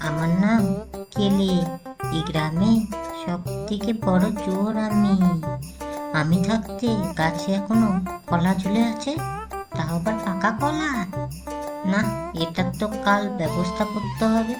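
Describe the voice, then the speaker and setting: A woman's voice: medium pitch, takes it slowly, pauses often, lightly acted. A woman playing a sly, boastful village thief in a children's cartoon, scheming aloud. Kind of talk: Bengali children's cartoon voice acting. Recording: music playing behind the voice.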